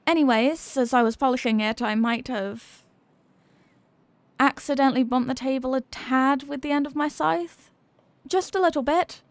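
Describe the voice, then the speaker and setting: An affectionate headless horsewoman girlfriend, played by a woman, with a fairly high voice in a dry, close space. She is centuries old yet sheepish and klutzy, explaining herself in gentle rambles, in the manner of an intimate ASMR roleplay. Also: normal speed, dry recording.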